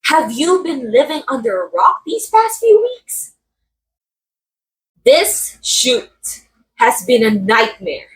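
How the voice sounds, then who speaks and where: A woman acts out a haughty, catty actress in an ASMR roleplay drama. Her voice sits fairly high and swings dramatically in pitch, drawling key words with sardonic condescension and a playful edge of menace. A faint noise sits behind the recording. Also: big pitch swings, slow pace, long pauses, heavily acted, poor audio. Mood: breezy, teasing. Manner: theatrical, sardonic, drawling some words for emphasis. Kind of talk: ASMR roleplay monologue, voice acting.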